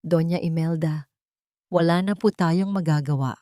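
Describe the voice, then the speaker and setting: A woman voicing a deferential, sorrowful lawyer in an audiobook drama, speaking softly and respectfully. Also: low voice, normal speed, dry recording, studio quality, natural voice. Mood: sorrowful, resigned. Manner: soft-spoken, respectful. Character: lawyer bringing grave news, deferential and sorrowful.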